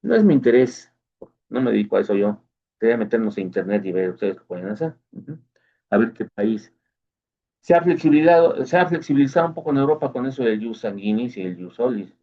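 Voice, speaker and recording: A man's voice: medium pitch, lively intonation, a little fast. A man giving a casual explanatory monologue as host, often checking in with the listener. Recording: dull lo-fi recording.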